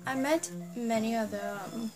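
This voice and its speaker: A woman's voice: fairly high pitch, moderate pace. Soprano lady, playful, music playing behind her. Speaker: interviewee, soprano vocalist. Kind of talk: casual interview.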